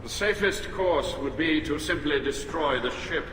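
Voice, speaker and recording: Man playing cold, high-pitched alien accuser. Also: a little slow, background noise, natural voice. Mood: cold, accusing. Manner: cold, cutting. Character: cold, needling alien male admiral, accuser. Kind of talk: video game cutscene acting. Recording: echoey hall, music underneath.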